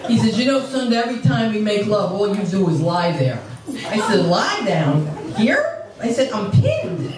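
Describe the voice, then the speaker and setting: A woman from New Jersey doing a brash, animated stand-up set in a comedy club. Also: medium pitch, moderate pace, heavy reverb, heavy background noise. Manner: brash, animated, swinging into mocking voices. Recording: distant mic in a loud club with crowd laughter.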